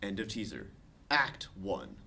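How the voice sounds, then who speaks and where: A man narrating the stage directions of a comedy screenplay at a table read, with expressive delivery. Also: low-pitched, measured pace, gravelly, a little hiss or hum.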